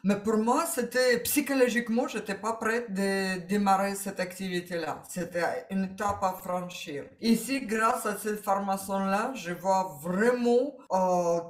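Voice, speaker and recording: A woman from Ukraine with a Ukrainian accent, a guest in an interview, talking slowly and searching for her words, often with a small laugh. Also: mid-range voice, roomy sound. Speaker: interview guest, coaching client. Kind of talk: testimonial interview.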